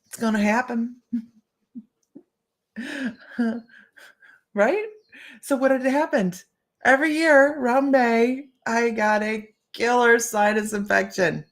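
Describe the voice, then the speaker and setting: A woman's voice: a little high, slow pace, long pauses. A middle-aged woman hosting a live stream as a psychic medium, chatting to viewers and greeting them by name.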